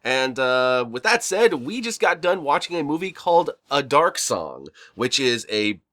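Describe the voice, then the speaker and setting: A man's voice: medium pitch, big pitch swings, a little slow, pushed and bright. A man with a cold, sounding a bit stuffed up, hosting a casual horror movie review chat in a rambling way with lots of repeated words. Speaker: horror review vlog host.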